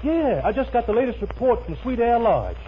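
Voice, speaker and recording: High-pitched eager, tactless cousin. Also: male voice, big pitch swings, fairly quick. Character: eager, girl-crazy, tactless male cousin. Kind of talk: 1950s radio comedy acting. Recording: muffled, noisy 1950 radio recording.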